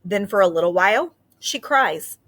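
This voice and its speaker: A woman teacher explaining reading ideas to children in a gentle, patient way with frequent fillers, then reading a picture book aloud expressively. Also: mid-range voice, expressive, normal speed, slight background noise.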